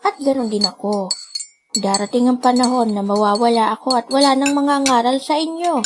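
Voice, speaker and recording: A woman's voice: a little high, brisk, noisy background, close to an everyday voice. A woman voicing a kind, loving old grandmother in a radio drama, sighing and worried. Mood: worried, weary. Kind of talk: dramatic radio play acting. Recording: music behind the voice.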